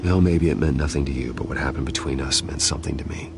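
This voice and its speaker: A man's voice: rumbling low, quick pace, gravelly. A man playing an earnest, brooding vigilante billionaire in a dramatic video game, speaking with intensity. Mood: wounded, pleading. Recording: background music under the voice.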